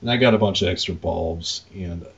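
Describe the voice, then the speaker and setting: A man's voice: deep voice, normal speed, vocal fry. A man co-hosting a podcast in casual, unscripted shop talk, rambling informally with some mildly crude slang. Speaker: podcast co-host, arcade game operator. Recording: dull lo-fi voice recording.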